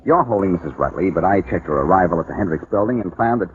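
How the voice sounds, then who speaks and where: An actor playing a wisecracking, smug private detective in a 1940s radio drama, with a teasing, sardonic manner. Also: male voice, deep voice, expressive, very fast, slight background noise. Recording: narrow tinny old radio broadcast with music.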